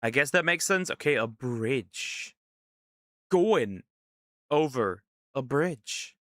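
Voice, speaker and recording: A male streamer giving casual, excitable commentary on a game, reacting with disbelief and joking to his chat. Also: medium pitch, slow pace, no room sound, studio quality. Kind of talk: let's play commentary.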